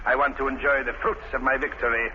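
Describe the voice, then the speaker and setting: An actor playing a merciless megalomaniac criminal mastermind in an old radio crime drama, speaking formally and with menace. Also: male voice, mid-range voice, level tone, fast talker, lightly acted. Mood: gloating menace. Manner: menacing, formal, grandiose villain delivery. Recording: noisy, muffled vintage radio recording.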